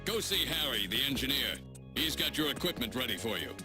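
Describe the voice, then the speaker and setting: A man voicing a plain-spoken, brisk agency boss in a video game, direct and instructive. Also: mid-range voice, sing-song, over music, close to an everyday voice. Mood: brisk, instructing.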